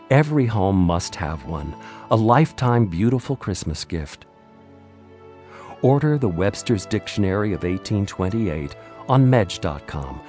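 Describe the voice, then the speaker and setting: A man announces in a low, mellow voice. His scripted delivery is earnest and measured, with faint music behind him. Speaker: advertisement announcer. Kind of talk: scripted narration.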